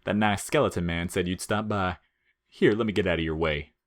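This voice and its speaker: A man's voice: deep voice, brisk, natural voice. A man voicing a friendly, affectionate talking rock with a homely, obliging manner in a storybook reading.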